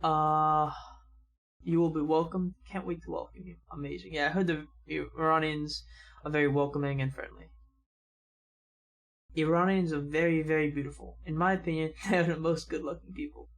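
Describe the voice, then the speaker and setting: Young man, gravelly voice, slightly high, casual comment reading, quick asides. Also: steady tone, fairly bright tone. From United States. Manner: casual, reads comments then adds quick remarks. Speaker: reaction channel host. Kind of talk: reading viewer comments aloud.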